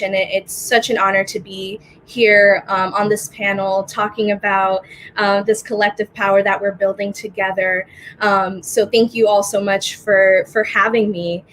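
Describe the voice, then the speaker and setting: A woman's voice: mid-range voice, low-quality recording. Young female panelist, earnest and unpolished, light background noise. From Dominican Republic. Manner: warm, earnest, many fillers. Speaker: panelist, organizer. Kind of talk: casual spoken remarks.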